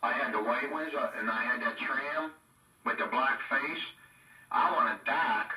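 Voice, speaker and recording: A man's voice: mid-range voice, unhurried, sharp tone, distant mic, soft background music. A man chatting casually about radio gear in a folksy, colloquial drawl. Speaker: CB radio hobbyist. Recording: echo-effected, roomy sound from off mic.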